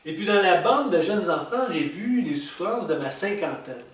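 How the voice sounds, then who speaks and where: Québécois man in his sixties, fairly high voice, halting and chatty, stands back from the mic in a very echoey room. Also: lively intonation, far from the mic. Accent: Québécois French. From Quebec. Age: 60s. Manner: halting, with frequent fillers, restarts and chuckles. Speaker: retired vlogger reflecting on life stages. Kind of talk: casual spoken reflection. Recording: echoey room, speaker well back from the mic, faint hiss.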